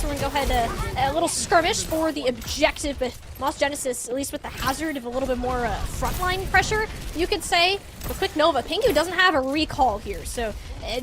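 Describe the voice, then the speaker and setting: High-pitched woman calling esports. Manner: animated, exclamatory. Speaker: esports commentator. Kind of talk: live esports play-by-play commentary.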